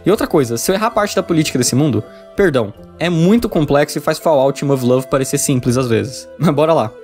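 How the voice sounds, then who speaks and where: A man's voice: a little high, animated, fast, a little mellow, faint music behind. A man with a Brazilian accent reading a scripted, informal review in a wry, jokey manner. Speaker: video essay reviewer.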